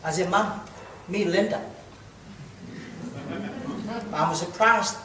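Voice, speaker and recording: A man telling a funny personal story in a humorous speech contest, pausing for laughs. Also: high-pitched, pushed and bright. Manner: telling a comic story to an audience with pauses for laughs. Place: large hall, public speaking contest. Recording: echoey hall from far off, noisy. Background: audience laughter.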